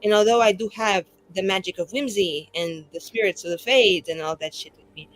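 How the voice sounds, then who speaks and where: A woman's voice: medium pitch, fairly quick, voice pushed down, natural voice, low-quality recording. A woman playing a tipsy, chatty young mage in a tabletop game, rambling and effusive in informal language. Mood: apologetic, rambling.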